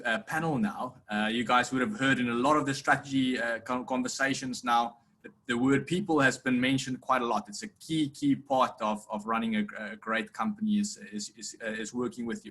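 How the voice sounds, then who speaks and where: A man's voice: mid-range voice, moderate pace, bright, forceful tone. A man moderating an online conference panel, upbeat and full of restarts as he speaks, on a video call.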